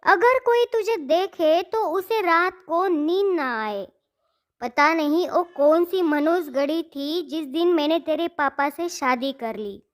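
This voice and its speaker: Woman playing a cruel, high-pitched cartoon stepmother. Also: animated, takes it slowly, full character voice. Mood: contemptuous. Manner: scolding, contemptuous. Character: cruel, scornful stepmother of a young girl. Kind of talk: Hindi cartoon moral story acting.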